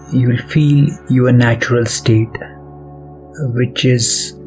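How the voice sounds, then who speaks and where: A man leading a guided meditation as its host, speaking softly and deliberately in short phrases with pauses between them. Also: medium pitch. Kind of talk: guided meditation, soft spoken instruction. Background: background music.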